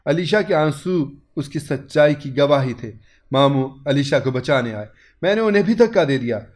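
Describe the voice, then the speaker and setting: A man's voice: on the high side, animated, normal speed, a little mellow. A man reading a scripted first-person story as its narrator, in a dramatic style.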